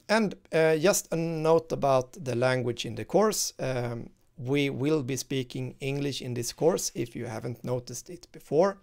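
A man's voice: mid-range voice, gravelly, darkish tone. A man in his thirties with a Swedish accent, explaining a university course in a recorded screen-share walkthrough, talking informally with lots of fillers and restarts. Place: indoor room, screen-share webcam inset.

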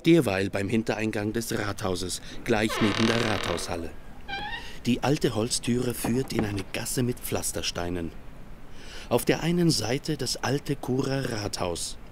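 A man narrating scenes in an audio drama, in a measured, descriptive way. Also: fairly low pitch, noisy background.

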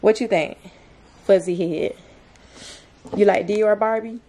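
A young woman speaks in a mid-range voice with a slow, drawn-out, informal delivery. She sounds like a casual home vlogger talking to the camera and her child, with faint noise in the recording. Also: medium pitch, steady tone, mellow. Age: young adult. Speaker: vlogger, mother. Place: small plain room indoors.

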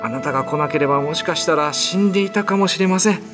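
A man's voice: on the high side, quick pace, over music, pitched above the performer's own voice, lightly acted. A man playing a pious young male farmer in a radio drama, speaking politely and with heartfelt drama. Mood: grateful.

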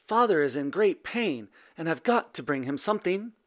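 An anxious, determined boy from a storybook, played by a man. He sounds earnest and urgent, pitched up and bright. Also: big pitch swings, moderate pace. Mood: worried.

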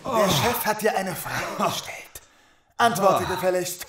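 Sneering high-voiced henchman. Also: expressive, measured pace, echoey room, heavy background noise. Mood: threatening. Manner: threatening, mocking. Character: brutish, sneering henchman of a gang boss. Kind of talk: audio drama acting.

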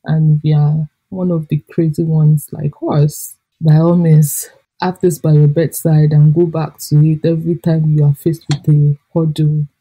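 A Nigerian woman with a somewhat low, husky voice, talking as a podcast co-host. Her tone is mellow and dark rather than bright, and she chats expressively, laughing easily. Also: moderate pace, rough recording. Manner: chatty and expressive, laughs often. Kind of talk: casual book review chat.

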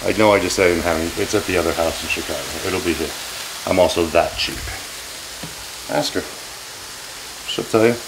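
Gravelly, brash man in a kitchen. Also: deep voice, a little fast, long pauses, background noise. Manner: brash, profane and joking. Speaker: cooking show host. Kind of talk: casual cooking vlog. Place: home kitchen, indoors. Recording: sizzling frying pan behind.

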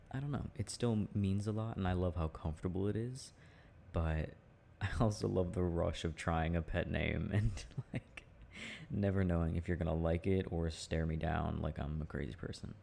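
A young man with a very low, creaky voice speaks in a casual, affectionate boyfriend-roleplay style. His tone is mellow and intimate, chatty and prone to chuckling. There is faint noise behind him. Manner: soft affectionate chat with frequent chuckles. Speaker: boyfriend roleplay audio performer.

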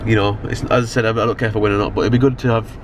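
A man's voice: fairly low pitch, level tone, very fast. A young British man chatting casually to camera in a car, rambling and slangy, often checking the listener follows. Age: young adult. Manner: rambling, slangy, frequent "you know what I mean". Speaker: vlogger. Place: inside a car at night. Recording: car ambience, clear background noise. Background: car and outdoor urban noise.

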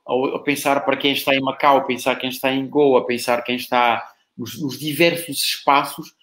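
A man with a Mozambican Portuguese accent, a guest speaking thoughtfully on a live video panel, often repeating words as he searches for them. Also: medium pitch. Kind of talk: reflective live panel talk. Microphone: video call.

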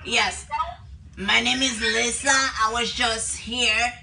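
A woman's voice: on the high side, fairly even intonation, slow, vocal fry, pushed and bright. A young woman speaking casually, making prank phone calls from a small room at home. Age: young adult. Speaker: prank caller. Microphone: camera a few feet away. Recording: distant microphone with faint noise.

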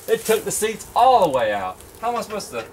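A young man joking around and guessing out loud while poking around an old truck outdoors, picked up by a nearby camera mic. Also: sits high, big pitch swings, brisk, background noise. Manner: playful, clowning, guessing out loud. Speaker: explorer in a junkyard video. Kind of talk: casual joking banter. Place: outdoor rural junkyard.

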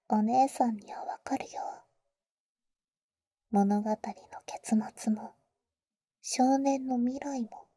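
A female vampire acted by a woman whispers slowly and very softly, right up against a dry microphone. Her voice is high and very mellow, with a teasing, tender big-sister manner suited to intimate roleplay ASMR. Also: no echo, heavily acted. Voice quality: soft, breathy, mostly whispered. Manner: slow, tender, teasing, boyish casual phrasing. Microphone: close, dry ASMR microphone.

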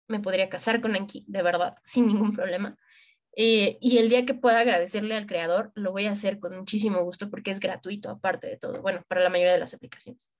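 A young adult woman from Mexico with a Mexican accent, giving an informal tutorial in colloquial, slangy language, speaking straight to the listener. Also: mid-range voice. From Michoacán, Mexico. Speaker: tutorial presenter for medical students. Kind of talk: informal screen-share tutorial.

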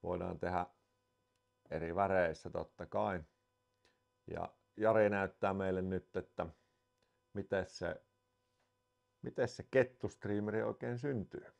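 A Finnish man in his thirties, a fly fishing expert calmly explaining to camera indoors. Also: sits low, gravelly. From Finland. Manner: calm, unhurried explaining. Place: indoors in a wooden room.

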